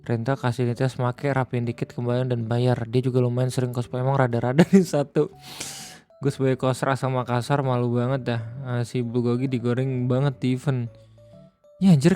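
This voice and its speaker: A man's voice: medium pitch, a little mellow. Joking man hosting a stream. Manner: jokey, laughs often, mutters to himself. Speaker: livestream host. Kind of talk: casual reaction stream chat.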